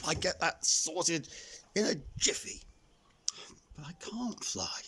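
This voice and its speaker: Casting: male reader as little elf, genteel and anxious, easily flustered, expressive rise and fall. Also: on the high side, breathy edge, heavily acted. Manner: hesitant, stammering, genteel. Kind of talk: children's storybook character voice.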